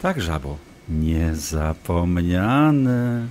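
An actor playing a smug, obsessive doctor in an audio drama, taunting and insinuating as a manipulative ex-lover. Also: male voice, sits low, big pitch swings, drawn-out words, a little mellow, heavily acted. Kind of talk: audio drama acting, dialogue.

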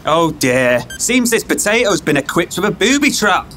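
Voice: mid-range voice, sing-song, normal speed, music in the background, lightly acted. A performer playing a sly, sarcastic elf rogue in an audio drama, teasing and theatrical.

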